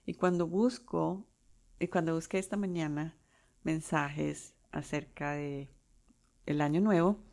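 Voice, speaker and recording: A Colombian woman in her forties hosting her own podcast, talking unscripted with pauses and restarts. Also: deep voice, steady tone, gravelly, darkish tone. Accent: Colombian Spanish. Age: 40s. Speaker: podcast host. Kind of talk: solo podcast monologue.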